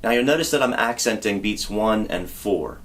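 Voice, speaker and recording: A man teaching a song on guitar, explaining step by step in a patient, relaxed way and counting beats aloud. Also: on the low side, normal speed, noisy background. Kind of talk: guitar tutorial. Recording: loud guitar under the voice. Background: acoustic guitar playing.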